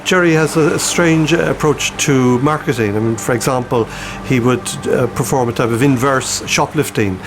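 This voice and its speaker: An Irish-accented man, a publisher being interviewed, recalls things informally in a halting, amused storyteller's way. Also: medium pitch.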